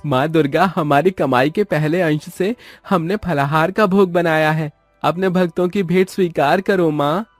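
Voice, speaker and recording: A man playing a poor, devout young village brother in an animated moral story, earnest and reverent. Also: a little high, moderate pace, dry recording, faint music behind, natural voice.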